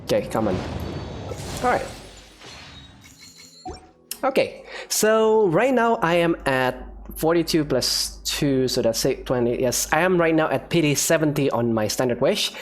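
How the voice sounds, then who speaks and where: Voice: mid-range voice, lively intonation, unhurried, long pauses. A video game streamer giving casual, chatty commentary to viewers, rambling and thinking aloud. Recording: game music behind the voice. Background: video game music.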